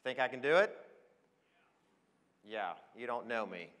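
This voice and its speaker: A man's voice: medium pitch, animated, a little slow, fairly bright tone. An American man in his forties giving an emphatic, enthusiastic motivational business presentation on stage to an audience, in a large hall. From Switzerland, then Oregon. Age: 40s. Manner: emphatic, enthusiastic, addresses listeners with questions. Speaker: conference speaker, advertising professional. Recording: long echo of a large hall.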